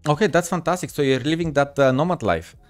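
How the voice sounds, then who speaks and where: Male podcast host, smooth resonant tone, halting delivery full of fillers. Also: fairly high pitch, faint music behind. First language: Bulgarian. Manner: hesitant, many fillers and restarts. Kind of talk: casual live-stream interview.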